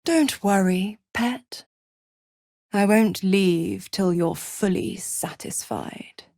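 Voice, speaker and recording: A woman's voice: mid-range voice, extremely slow, darkish tone, dry recording, clean studio sound. A woman playing a seductive, mocking devil villain in a video game, theatrical and taunting, with a cruel possessive streak. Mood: silky, teasing. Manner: sultry, taunting, theatrical, drops into whispers. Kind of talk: dramatic video game voice acting.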